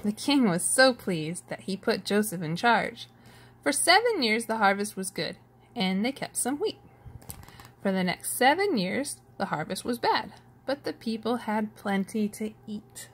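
A woman reading a children's picture book aloud in an expressive storytelling manner, with a slightly husky voice. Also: medium pitch, a little slow. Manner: animated, storytelling delivery. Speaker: storybook reader.

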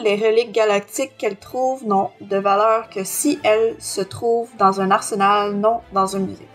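A woman co-hosting a podcast, reading a blurb aloud carefully with small stumbles. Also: a little high. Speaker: podcast co-host.

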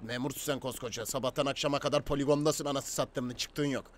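A man's voice: mid-range voice, steady tone, fast. A man speaking casual, slangy Turkish street banter as he improvises a robber in an online roleplay game, cheeky in manner. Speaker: player acting as robber negotiating in a roleplay game. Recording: game voice chat with chatter behind.

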